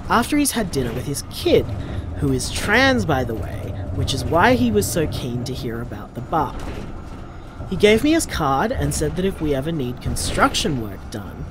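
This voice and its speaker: Actor playing chatty, animated, affectionate boyfriend. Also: male voice, a little high, normal speed, noisy background. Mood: upbeat, sheepish. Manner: chatty, casual, earnest. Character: affectionate, upbeat young man leaving voicemails for his boyfriend. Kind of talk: intimate audio drama monologue.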